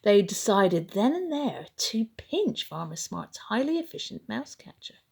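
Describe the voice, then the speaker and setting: Animated, gravelly-edged woman reading a bedtime story. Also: mid-range voice. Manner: dramatic, expressive, deliberately paced. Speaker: storybook reader.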